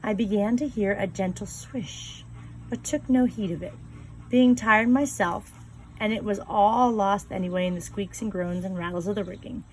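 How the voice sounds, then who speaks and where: Woman calmly reading a novel aloud. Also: mid-range voice, moderate pace, slight background noise, poor audio. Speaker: reader.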